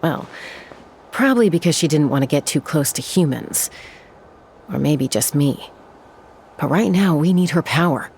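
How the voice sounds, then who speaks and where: A woman's voice: sits low, animated, normal speed, pauses often, darkish tone, slight background noise. An actress playing a self-assured young gunslinger woman in a fantasy video game, with a cool, composed, dry delivery. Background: game music.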